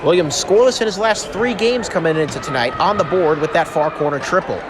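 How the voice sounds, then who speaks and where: A man giving excitable live play-by-play radio commentary of a basketball game, calling out each play. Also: a little high, brisk. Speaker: play-by-play announcer. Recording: very loud arena crowd noise. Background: basketball game crowd and bouncing ball.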